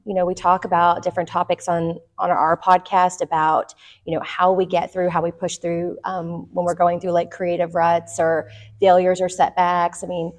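A woman's voice: lowish voice, level tone, normal speed. A middle-aged woman hosting a podcast interview in an office, chatty and enthusiastic. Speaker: podcast host. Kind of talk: casual interview chat.

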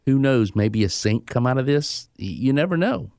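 Measured male radio host, dry studio. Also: medium pitch, animated, gravelly, darkish tone, dry recording. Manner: measured, conversational questioning. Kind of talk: radio interview.

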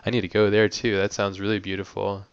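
A man's voice: on the low side, monotone, quick pace, clean tone, dry recording. A man from the United States hosting a podcast, chatting in a laid-back, joking way with lots of "like". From United States (San Diego, Hawaii). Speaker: podcast host. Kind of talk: casual interview chat. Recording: dry, lo-fi audio.

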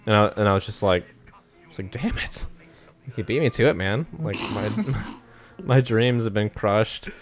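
A man's voice: lowish voice, normal speed. A young man in his twenties chatting casually on a podcast, hesitant, full of likes and ums, often laughing. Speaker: guest pitching a show idea.

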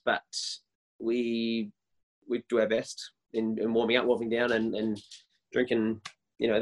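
A man in his thirties with a higher voice, a worship leader talking casually and eagerly in an interview, often restarting his sentences. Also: fairly bright tone. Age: 30s. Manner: enthusiastic, many restarts and repeated words.

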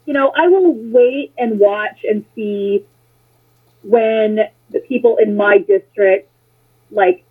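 A woman's voice: a little high, slow, breathy edge. An American woman chatting casually and telling opinionated stories, blunt and sarcastic, swearing freely, on a muffled, lo-fi connection. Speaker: interview guest, former political candidate. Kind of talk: casual conversation, opinionated anecdotes. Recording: muffled lo-fi phone-like sound.

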